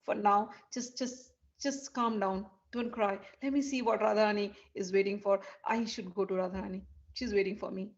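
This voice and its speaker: A woman voicing a charming young man who pleads and sweet-talks a jealous lover, soft and repetitive. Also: a little high, steady tone, brisk, muffled recording, natural voice. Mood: soft, soothing. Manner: soft coaxing, repeating himself.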